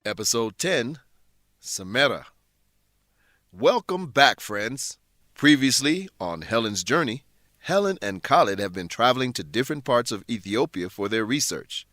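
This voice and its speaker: A man narrating and announcing a scripted radio drama, with clearly enunciated, presentational delivery. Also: mid-range voice, sing-song, unhurried, a bit bright.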